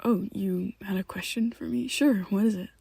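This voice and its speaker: A woman's voice: mid-range voice, moderate pace, gravelly, dark tone, slightly rough, dry recording. A woman voicing a young male vampire best friend in an ASMR roleplay, who talks hesitantly and half-whispers with nervous stammers. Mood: evasive.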